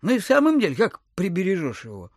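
Grumbly old miser landowner, high husky voice that swoops around, always complaining, audio play. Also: male voice, huge pitch swings, quick pace, a little mellow, no echo, clean studio sound, exaggerated voice. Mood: grumbling. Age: 60s. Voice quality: husky, throat-clearing old man's voice. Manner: querulous, complaining, rambling. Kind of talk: theatrical audio drama acting.

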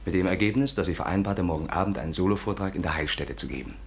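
An actor playing a cocky, persuasive, charming schemer with a brisk, coaxing manner in a 1950s radio drama. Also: male voice, sits low. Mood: brisk, confident. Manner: brisk, coaxing, self-assured. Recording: noisy old 1950s radio recording.

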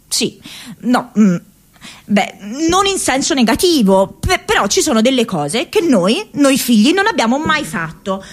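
An actress playing an idealistic, restless young woman in a radio theatre monologue, speaking expressively and haltingly, like an affectionately rebellious daughter. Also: fairly high pitch. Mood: wry, reflective. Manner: halting, expressive, with broken repetitions.

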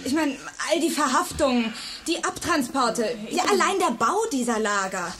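A woman's voice: sits high, expressive, normal speed, fairly bright tone, slight background noise. An actress playing an inquisitive, outspoken teenage schoolgirl in a radio drama. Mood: troubled, questioning. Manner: questioning, indignant. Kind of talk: German radio drama acting.

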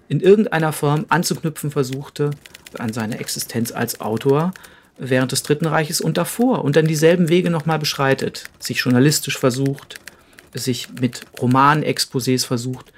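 A man's voice: a little high, moderate pace, slight background noise. A man giving reflective spoken commentary in careful, formal phrasing.

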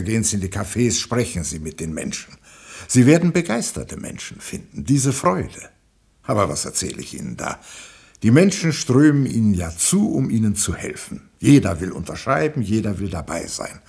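An actor playing a pompous, evasive government minister in a German radio play, speechifying in a husky voice with rhetorical flourishes. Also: male voice, on the low side, darkish tone, full character voice.

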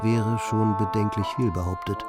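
A man performing a reflective, wry commentator in a literary radio play, with measured, pointed phrasing. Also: lowish voice, fairly even intonation, loud music behind the voice, lightly acted. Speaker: second narrator.

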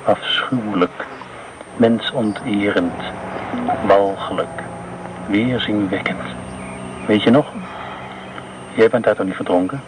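An actor playing a brooding man haunted by war memories, halting and repeating phrases, in a radio play. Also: on the low side, background noise.

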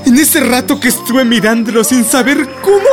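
An actress playing a reflective, self-justifying television devotee, delivering an articulate radio drama monologue. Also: female voice, on the high side, normal speed, over loud music, natural voice. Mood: wistful, musing. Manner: musing, articulate.

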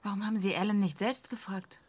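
A woman playing a probing, skeptical journalist in a radio drama, asking pointed, measured questions. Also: medium pitch, fairly even intonation, slightly rough, natural voice. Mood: curious, probing. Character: probing, skeptical female journalist interviewing a scientist.

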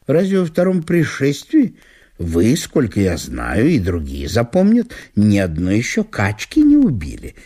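An actor voicing a dignified, smooth-talking small-town gentleman who persuades with ornate courtesy in a radio reading of a classic story. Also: fairly low pitch, wildly swooping pitch, measured pace, pitched below the performer's own voice, full character voice. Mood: persuasive, coaxing. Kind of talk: radio literary solo performance.

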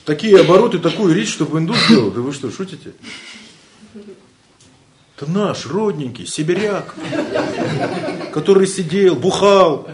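A man's voice: fairly high pitch, expressive, normal speed, pauses often, slight background noise. A man from Siberia with a Siberian Russian accent, giving an informal spiritual lecture in a joking, rambling way and laughing often.

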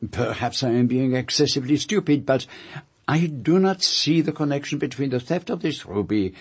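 A man playing a fussy, courteous middle-aged detective, precise and measured, in a radio drama. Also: mid-range voice, expressive, slightly rough, no echo. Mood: probing, courteous.

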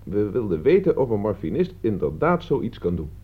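A man's voice: on the low side, very animated, normal speed, husky. An actor playing a smug, self-assured male teacher in a radio play, speaking in a didactic way. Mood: self-satisfied. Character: smug, clever male teacher who stages a cruel prank. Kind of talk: radio play acting in Dutch. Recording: dull, muffled old radio recording.

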